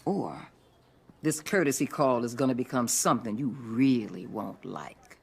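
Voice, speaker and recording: An actor playing a domineering, territorial government agent in a video game, menacing but controlled, with a raspy voice. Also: male voice, medium pitch, unhurried. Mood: threatening.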